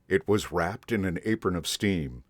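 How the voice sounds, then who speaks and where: A man in a Santa persona reading a picture book aloud to children in a gentle, expressive way. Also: low-pitched, moderate pace, no echo, studio quality. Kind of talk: storybook read-aloud to children.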